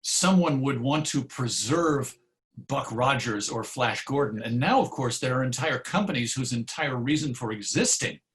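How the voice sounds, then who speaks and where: A man's voice: medium pitch, animated, moderate pace. A man speaking as an interview guest, explaining history in a reflective, discursive and conversational way. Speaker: guest, old-time radio host and historian.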